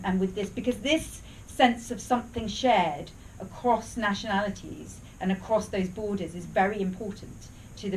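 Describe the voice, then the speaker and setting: Woman, researcher, answering questions, restarts often, distant mic, faint room noise. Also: medium pitch. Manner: thoughtful, frequent restarts and hedges. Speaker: researcher presenting at a briefing. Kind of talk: academic Q&A answer.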